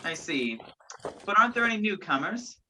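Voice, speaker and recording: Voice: medium pitch, sing-song, normal speed, bright, forceful tone, slight background noise. A performer playing an earnest, anxious man on the run in a radio play, polite and hesitant. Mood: polite, guarded. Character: earnest, anxious male fugitive posing as job seeker.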